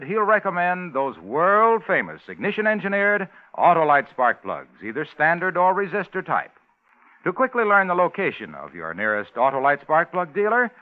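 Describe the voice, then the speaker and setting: American male announcer, higher-pitched and lively, emphatic vintage sponsor pitch, muffled old radio broadcast.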